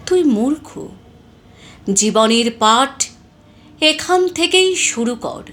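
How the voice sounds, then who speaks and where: A woman reciting poetry in a deliberate, expressive, dramatic style with weighted pauses. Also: sits high.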